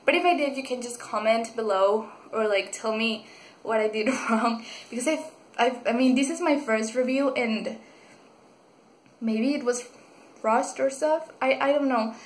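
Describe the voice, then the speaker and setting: A young woman from Mexico, reviewing a book in a casual vlog, gushing and excitable with many fillers and restarts. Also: fairly high pitch, a little slow. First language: Spanish. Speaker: book vlogger. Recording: echoey room.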